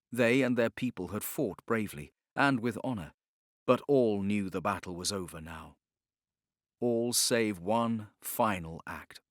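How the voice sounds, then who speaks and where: A male audiobook narrator with a fairly low voice reads dramatic fiction. His delivery is measured and solemn, carefully scripted, and the recording is close and dry with no room sound. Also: fairly bright tone, no echo.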